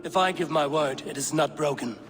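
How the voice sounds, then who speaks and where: An actor playing a stalwart Viking warrior in a video game, plain-spoken, terse and firm. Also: male voice, a little high, brisk, close to an everyday voice. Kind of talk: video game cutscene acting. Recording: prominent music behind the voice.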